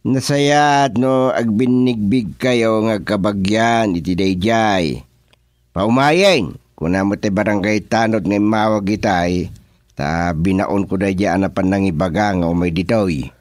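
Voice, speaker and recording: A man plays a deliberate village chairman with an Ilocano accent. He speaks slowly in a fairly low voice, questioning and mediating, in a dry radio drama recording. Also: no echo, close to an everyday voice. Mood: helpful, deliberate.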